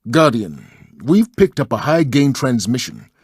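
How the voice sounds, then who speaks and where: A man's voice: mid-range voice, sing-song, measured pace, slightly rough. A man playing a businesslike military officer giving a mission briefing in a video game. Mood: urgent, businesslike.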